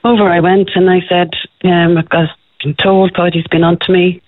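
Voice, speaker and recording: A woman's voice: on the low side, moderate pace, dry recording. Irish woman telling a story over a tinny phone line. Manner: breathless, excitable, addresses host by name. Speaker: guest, former television presenter. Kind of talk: animated storytelling in a radio interview. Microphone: telephone.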